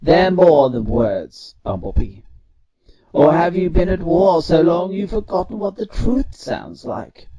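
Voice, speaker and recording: A persuasive robot activist voiced by a man speaks in an audio drama with earnest deliberation. The voice sits a little high and moves slowly. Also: expressive, muffled recording, a loud crowd. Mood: stern. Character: measured, pleading male robot activist leader.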